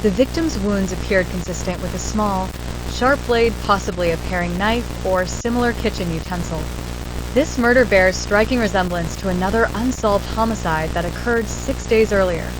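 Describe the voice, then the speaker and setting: Casting: female actor as serious newsreader for horror drama, mid-pitched, measured and formal, clear noise behind. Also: lightly acted. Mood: serious, composed. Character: composed female news reporter on a grim crime story. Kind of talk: scripted news report. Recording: clear background noise, hum and hiss.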